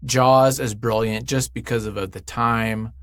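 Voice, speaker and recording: Male interview guest, medium pitch, effusive and emphatic, casual unscripted delivery, close dry recording. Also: steady tone, unhurried, fairly bright tone, no echo. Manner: effusive, emphatic, thinks aloud.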